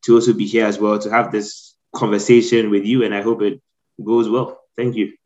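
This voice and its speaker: A young man with a Ghanaian accent, a guest telling his own story in an earnest, measured way. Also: lowish voice, level tone, darkish tone, muffled recording. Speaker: guest, trainee solicitor. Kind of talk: reflective personal storytelling.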